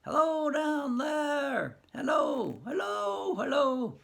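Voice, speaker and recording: A man's voice: sing-song, a little slow, vocal fry, fairly bright tone, exaggerated voice. A man doing a quavering, grieving old farmer. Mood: calling out. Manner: high quavering wail. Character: grieving old male farmer, bewildered and mournful.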